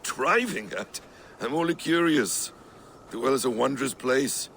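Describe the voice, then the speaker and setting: An actor playing a sly trickster god in a video game, probing and insinuating with feigned innocence. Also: male voice, medium pitch, expressive, a little slow, a bit bright, breathy edge, full character voice. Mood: probing, coy. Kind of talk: video game cutscene acting.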